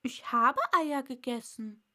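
A woman's voice: sits high, slow, bright, forceful tone, full character voice. A woman voicing an earnest, polite little girl in a storybook, protesting with some indignation. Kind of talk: storybook character voice.

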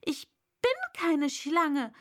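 Woman playing a storybook little girl, high, bright, earnest, polite, indignantly protesting. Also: lively intonation, measured pace, exaggerated voice. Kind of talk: storybook character voice.

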